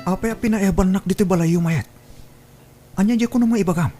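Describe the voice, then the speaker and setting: A man's voice: high-pitched, fairly quick, music in the background, natural voice. A man with an Ilocano accent playing a plain-spoken, self-interested young suitor in an audio drama. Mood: uneasy, evasive.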